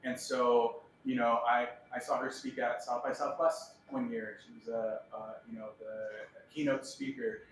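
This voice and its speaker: A man's voice: mid-range voice, animated, normal speed, hardly any pauses, heavy reverb, a little hiss or hum, rough recording. A man in the audience offering a hesitant, tentative suggestion. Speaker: audience member.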